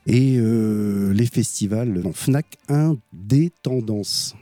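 A man's voice: lowish voice, slow, dark tone, no room sound. A man presenting a music segment on French radio in casual chat, hesitant with many fillers and restarts.

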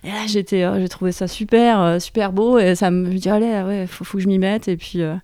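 A woman in her forties chatting casually about knitting, hesitant, with many pauses and fillers. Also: medium pitch, steady tone, dark tone, a little hiss or hum. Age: 40s. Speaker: knitter sharing her experience. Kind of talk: casual chat.

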